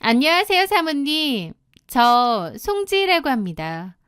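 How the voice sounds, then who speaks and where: A woman voicing a brazen young mistress in an audio drama, calm, cheeky and mock-polite as she taunts a wife. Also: sits high, unhurried, clear, resonant tone, dry recording, clean studio sound, pitched above the performer's own voice, full character voice. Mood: brazenly polite.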